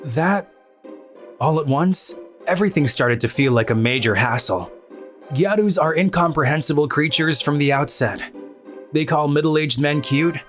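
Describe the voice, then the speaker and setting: A woman's voice: low-pitched, animated, normal speed. A woman voicing a cool-headed, easily exasperated teenage otaku boy who narrates his own story in a manga video. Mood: dry, weary. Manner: dry, exasperated. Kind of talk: scripted first-person anime narration and dialogue. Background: background music.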